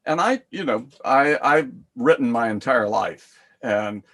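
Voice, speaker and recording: A man's voice: medium pitch, expressive, a little slow, fairly bright tone. An older man with a Southern US accent, a former college teacher chatting casually and laughing easily. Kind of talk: casual video call chat.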